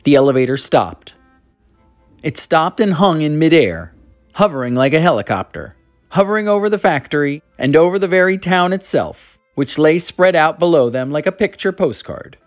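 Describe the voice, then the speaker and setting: A reader narrating a children's book aloud in an animated, scripted style. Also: mid-range voice, normal speed, soft background music. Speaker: storybook reader.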